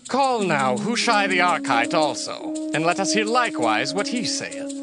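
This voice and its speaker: An actor playing a commanding rebel prince in a dramatized Bible reading, imperious and declamatory over music. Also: male voice, high voice, big pitch swings, normal speed. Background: prominent background music.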